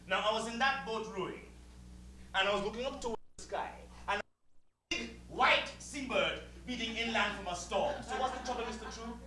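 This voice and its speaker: An actor with a Caribbean accent playing a proud, sardonic servant who bosses like a director in a stage comedy, mock-polite and emphatic. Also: male voice, high-pitched. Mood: sardonic, insistent. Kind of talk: live satirical stage comedy acting. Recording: echoey far-off stage recording, humming noise.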